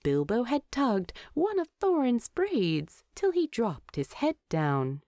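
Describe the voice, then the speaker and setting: A woman's voice: on the high side, wildly swooping pitch, slow, dark tone, no room sound. A woman narrating a written story expressively into a close microphone.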